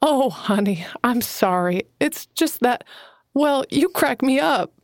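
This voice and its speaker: Woman voicing calm mentor woman, teasing, firm, unhurried, dry close recording. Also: high-pitched, no room sound, heavily acted. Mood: knowing. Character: calm, knowing woman mentor who calls people honey. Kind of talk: audiobook character voice.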